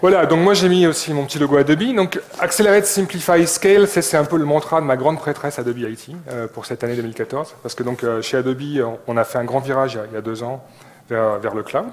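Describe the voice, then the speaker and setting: Male conference speaker, medium pitch, brisk pace, colloquial with hesitant repetitions. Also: a little hiss or hum. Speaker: conference speaker, tech company employee. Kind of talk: informal co-presented tech talk. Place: live talk before an audience.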